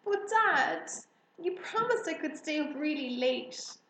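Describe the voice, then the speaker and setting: A woman's voice: sits high, animated, slow pace, sharp tone, reverberant, a little hiss or hum, pitched above the performer's own voice, exaggerated voice. A woman reading a storybook, voicing an eager, curious young girl with emphatic questions. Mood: pleading.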